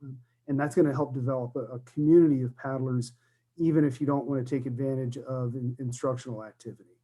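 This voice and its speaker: Guy presenting on a video call, mellow low-key tone, lots of ums. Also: fairly even intonation, fast talker, dull audio. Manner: explanatory, many fillers. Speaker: conference presenter. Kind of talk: virtual conference talk. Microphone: computer mic on a video call.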